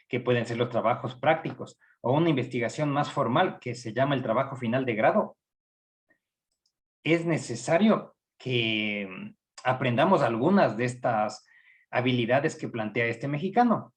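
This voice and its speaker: A middle-aged Ecuadorian man teaches a university training session, speaking in a measured, patient way. He pauses often and fills gaps with short hesitations. His voice sits at an ordinary middle pitch. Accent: Ecuadorian Spanish. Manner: measured, with frequent hesitations and fillers. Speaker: psychology professor giving a training session. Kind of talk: recorded online lecture.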